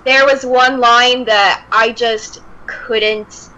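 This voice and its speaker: A woman's voice: fairly high pitch, steady tone, extremely slow, crowd noise. A woman chatting casually as a guest on a podcast, over a video call. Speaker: podcast guest, amateur voice actor. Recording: dull, faintly noisy call audio.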